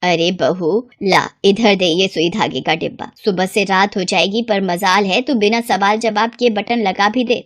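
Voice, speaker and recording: A woman's voice: medium pitch, steady tone, a little slow, hardly any pauses, no echo, clean studio sound, pitched below the performer's own voice, lightly acted. A woman voicing an exasperated, scolding mother-in-law who nags, in a cartoon moral story. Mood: irritated. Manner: nagging.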